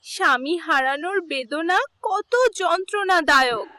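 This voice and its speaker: A woman's voice: extremely high pitch, measured pace, heavy background noise. A woman voicing a vengeful, grieving female snake spirit, fierce and menacing, in a cartoon fairy tale. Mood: vengeful, threatening. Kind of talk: dramatic cartoon dubbing.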